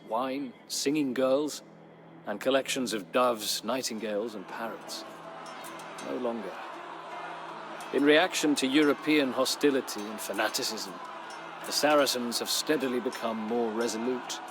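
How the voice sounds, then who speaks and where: An actor playing a weary, middle-aged former crusader knight who narrates a game's war chronicle in a solemn, measured, dramatic storytelling manner. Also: male voice, mid-range voice, close to an everyday voice. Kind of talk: dramatic scripted first-person narration. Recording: music under the voice. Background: music.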